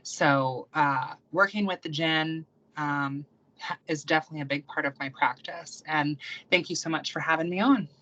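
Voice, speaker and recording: A young woman from the United States, talking in a low but bright, forceful voice. She rambles in a loose, casual way, with lots of ums and likes as she tells her stories. The sound is a little dull and lo-fi, like a call recording. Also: normal speed. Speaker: guest, practicing witch and YouTuber. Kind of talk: casual conversational interview.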